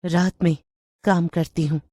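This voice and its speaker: A woman voicing a menacing witch who poses as a new neighbour, taunting slowly in a cartoon horror story. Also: lowish voice, steady tone, mellow, no echo, studio quality. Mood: cold, ominous. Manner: taunting, slow and deliberate threats.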